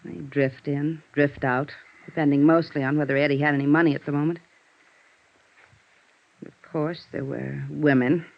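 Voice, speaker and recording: A dull vintage radio recording carries a bitter, guarded widow. Her voice has a smoky creak, and she confides in a weary, wistful, halting way, in the manner of 1950s radio noir. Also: deep voice, fairly even intonation. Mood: bitter, wry. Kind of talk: 1950s radio drama acting.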